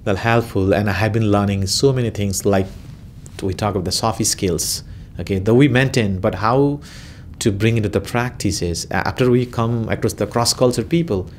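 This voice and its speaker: Nepali-accented man, low-pitched and hesitant. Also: normal speed, slight background noise. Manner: hesitant, many fillers and restarts. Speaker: interviewee. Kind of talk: casual interview answers.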